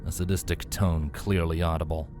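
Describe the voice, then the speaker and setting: A man reading scripted fantasy fiction as a narrator, in a measured, solemn, dramatic way. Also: sits low, little pitch movement, music in the background. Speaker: narrator, fan fiction reader.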